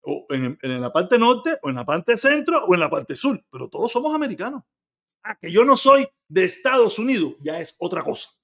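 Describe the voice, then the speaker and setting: High-voiced Cuban man ranting. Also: big pitch swings, normal speed, a bit bright. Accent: Cuban Spanish. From Cuba. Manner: blunt, argumentative, swears freely. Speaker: live stream host reading chat. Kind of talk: casual live stream chat.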